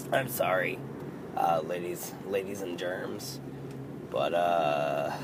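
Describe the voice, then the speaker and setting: Male podcast host, 30s, hoarse, failing voice, slow and rambling, traffic noise behind. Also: deep voice. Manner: rambling, halting, swears under his breath. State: losing his voice, hoarse and croaky. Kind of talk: casual solo podcast monologue.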